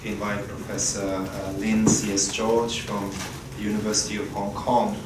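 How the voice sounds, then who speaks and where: A man chairing a conference session, introducing a keynote speaker in a polite, halting way. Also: fairly low pitch, level tone. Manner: polite, halting with many pauses. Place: conference room. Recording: echoey room, distant mic, loud background noise.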